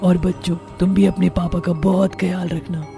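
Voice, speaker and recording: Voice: mid-range voice, flat delivery, moderate pace, gravelly, over music, natural voice. A performer voicing a wronged ghost witch mother in a cartoon horror story, solemn and dramatic, vengeful yet tender. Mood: tender farewell. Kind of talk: Hindi animated horror story dialogue.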